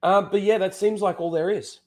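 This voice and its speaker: A man's voice: high voice, steady tone, normal speed, a little mellow. Stuffy-nosed man rambling on a livestream. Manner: rambling, full of "like" and restarts. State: head cold, blocked nose. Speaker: livestream host. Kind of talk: casual livestream chat.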